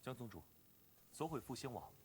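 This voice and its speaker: A man playing a polite, earnest young clan disciple in an animated fantasy drama, speaking formally and courteously. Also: medium pitch, brisk, close to an everyday voice.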